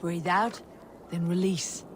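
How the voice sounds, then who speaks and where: Low-voiced, stoic Viking warrior. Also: female voice, fairly even intonation, slow pace, a little hiss or hum. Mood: steady, instructing. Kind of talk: video game character acting.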